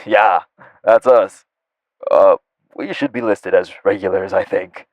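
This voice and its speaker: A man's voice: deep voice, takes it slowly, no echo, dull audio. A man acting as a polite, hesitant, apologetic assistant making a business call in an audio roleplay. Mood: awkward, courteous.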